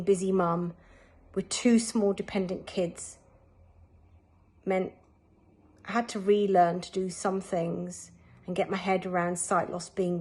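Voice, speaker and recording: A working mother with a husky voice, telling her story in a matter-of-fact way. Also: medium pitch. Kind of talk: personal testimony.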